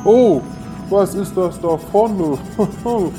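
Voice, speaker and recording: A man's voice: high-pitched, slow. A man playing a jolly Santa Claus in a comic Christmas audio drama, with hearty ho-ho-hos scattered through the talk. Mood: puzzled. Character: jolly male Santa Claus who chuckles ho-ho. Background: music.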